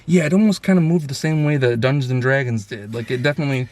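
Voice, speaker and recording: A man's voice: mid-range voice, fast, a little mellow, dry recording. A man hosting a casual film review chat, talking in an enthusiastic, effusive way.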